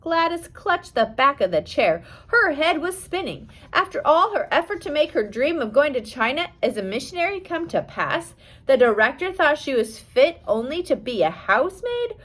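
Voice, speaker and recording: A woman's voice: high-pitched, very animated, normal speed, a bit bright. A woman reading a scripted biography aloud as an audiobook, with careful storytelling delivery. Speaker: audiobook reader.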